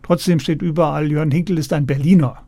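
A man's voice: fairly high pitch, steady tone, fairly quick, warm tone, no room sound. A man hosting a cultural radio interview, putting questions in a conversational way.

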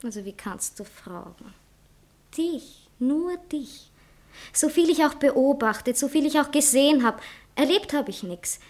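An actress playing a passionate, self-dramatizing young bride-to-be in a satirical radio play. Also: female voice, high voice, lively intonation, unhurried, darkish tone. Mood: earnest, pleading. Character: passionate young bride-to-be who writes novels. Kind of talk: theatrical literary satire dialogue.

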